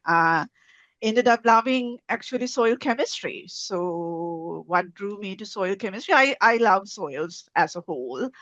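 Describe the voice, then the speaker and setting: A woman with a Sri Lankan accent gives an unscripted interview answer. Her voice is a little low, and she hesitates and repeats words often. The recording is somewhat dull and lo-fi. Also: a bit bright, no echo. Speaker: guest, soil science researcher. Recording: dull, lo-fi sound.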